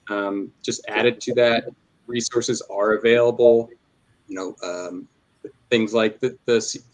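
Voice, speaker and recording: A man's voice: lowish voice, fairly even intonation, unhurried. A man giving closing thoughts on a panel, hesitant and full of fillers. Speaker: panel speaker. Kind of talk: panel discussion.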